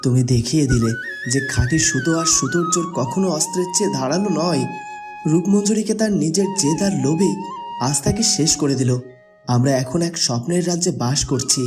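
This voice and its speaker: A man's voice: fairly high pitch, wildly swooping pitch, a little fast, over music. A man playing a noble young warrior in a cartoon folk tale, speaking formally and earnestly. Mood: earnest, proud.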